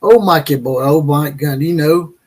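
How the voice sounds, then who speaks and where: A man's voice: a little high, normal speed, darkish tone. A man hosting a college football commentary channel, ranting with crude, mocking opinions and frequent fillers and restarts.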